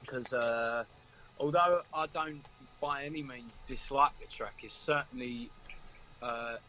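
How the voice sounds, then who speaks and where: A man with a mid-pitched voice talks casually in an interview about his band. Faint music plays behind him. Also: takes it slowly, sharp tone, rough recording. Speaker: band member being interviewed.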